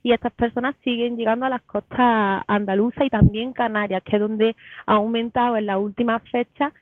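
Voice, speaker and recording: A woman's voice: on the high side, fairly even intonation, a little fast, dry recording. A woman answering as an interview guest over a call. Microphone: phone or online call. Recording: tinny narrow phone-like line.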